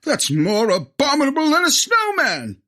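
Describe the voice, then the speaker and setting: A man's voice: extremely high pitch, wildly swooping pitch, moderate pace, sharp tone, heavily acted. A man playing a jolly, pompous police commissioner and adventurer in a comedic audio drama, exclaiming grandly. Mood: grandiose.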